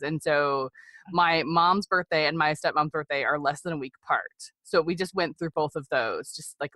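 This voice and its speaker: Podcast guest: American woman in her thirties, low-pitched and dry-sounding, candid and chatty, sharing personal stories. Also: fairly bright tone, no room sound. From Seattle area, United States. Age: 30s. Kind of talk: personal storytelling in a conversational interview.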